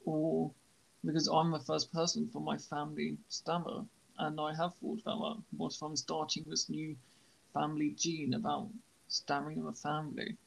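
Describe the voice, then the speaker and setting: A young man who stammers, hosting a podcast and speaking in a halting, reflective way. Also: a little high, completely flat delivery, creaky, poor audio. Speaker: podcast host. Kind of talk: podcast conversation.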